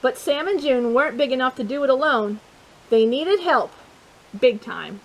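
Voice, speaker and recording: A woman with a high voice reads a children's picture book as a storytime host. She is expressive in her delivery and often steps out of the text to chat or put questions to her young listeners. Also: normal speed, poor audio. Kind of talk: read-aloud children's storytelling with chatty asides.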